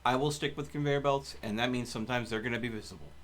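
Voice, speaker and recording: A man's voice: medium pitch, fast talker. A man streaming a video game and bantering casually with a co-player in a wordy, argumentative, exasperated way.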